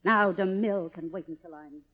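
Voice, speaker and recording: An actress playing an imperious, vain, middle-aged faded film star in melodramatic old radio drama style. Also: female voice, on the low side, normal speed, close to an everyday voice. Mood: haughty. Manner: grandiose, melodramatic, commanding. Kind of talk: 1950s radio drama acting. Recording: dull old radio recording, reverberant.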